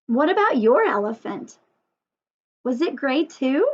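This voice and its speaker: High-voiced woman teaching slowly to young children. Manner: animated teacher-to-child talk with frequent questions and emphasised key words. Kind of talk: children's story time lesson. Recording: dull lo-fi sound.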